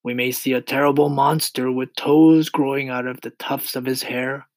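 A man's voice: medium pitch, flat delivery, normal speed, no room sound, voice pushed up, lightly acted. A man playing a boastful, exuberant centipede, declaiming mischievous sing-song rhymes in a children's storybook reading. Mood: mischievous, playful.

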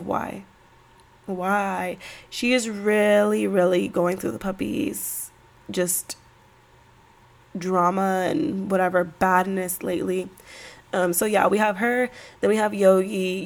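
A woman in her early thirties hosts her own podcast, her nose stuffed up from a cold. Her voice has a gravelly, creaky edge, and she rambles in a loose, slangy way. Her pitch sits in a middle range for a woman. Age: 30s. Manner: chatty, rambling, slangy, laughs between thoughts. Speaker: podcast host. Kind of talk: casual solo podcast chat.

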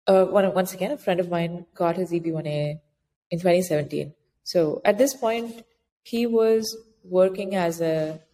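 A woman with a slightly low voice gives informal, practical explanations as an interview guest. She lays points out one by one with examples. The recording has long reverb and a faint noise behind her. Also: a little mellow. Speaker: interviewed guest, author giving practical advice. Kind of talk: conversational explanatory interview answers. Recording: noisy, very reverberant room, clicks.

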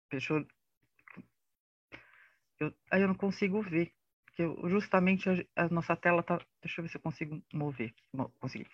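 A man's voice: high-pitched, fairly bright tone, no room sound, dull audio. A man with a Brazilian accent giving an informal lecture in a didactic, conversational way, often adding tag questions. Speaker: panelist presenting on disinformation. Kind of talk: informal lecture with slides in an online debate. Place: online live session recorded for a podcast.

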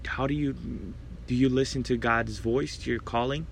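A man's voice: medium pitch, fairly even intonation, moderate pace, creaky, a little hiss or hum. A young man, hosting his own faith podcast and sharing a personal testimony in an earnest, grateful, reflective way. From Brazil. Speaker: podcast host and preacher.

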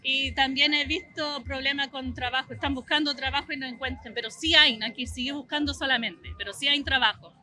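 A woman's voice: high-pitched, steady tone, fairly quick, hardly any pauses, pushed and bright, soft background music. A woman who grew up in Australia, talking casually about organizing a community festival outdoors. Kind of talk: casual interview answers.

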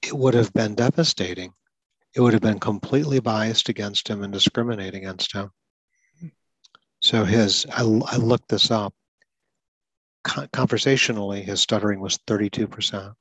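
A man's voice: fairly low pitch, normal speed, a bit bright, breathy edge, no room sound, poor audio. A man who stutters, hosting a talk about school support, explaining things earnestly with occasional repeated words. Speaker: podcast host.

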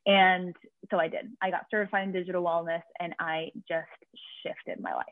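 A woman's voice: fairly low pitch, little pitch movement, normal speed, no room sound. A woman from Venezuela with a Venezuelan Spanish accent, a podcast guest talking energetically with many restarts. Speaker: guest, career coach. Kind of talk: podcast interview. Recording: dry, tinny phone-like line.

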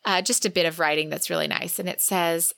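A woman's voice: fairly low pitch, steady tone, a little fast, vocal fry, fairly bright tone, no echo. A woman co-hosting a book podcast, chatting casually and with effusive enthusiasm. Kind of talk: casual book discussion chat.

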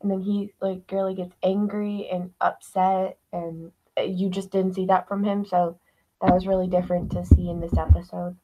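A woman's voice: fairly low pitch, level tone, normal speed, dark tone, low-quality recording. A teenage girl chatting casually as a podcast guest, peppering her talk with "like". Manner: uses "like" constantly. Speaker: student actor, podcast guest. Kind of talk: casual chat.

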